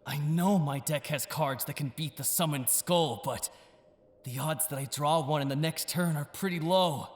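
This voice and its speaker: An actor playing an arrogant, gloating young male card-game villain in an anime-style audio drama, theatrical and taunting, swinging from sly murmurs to shouts. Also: a little high, moderate pace, close to an everyday voice. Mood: dismayed, brooding.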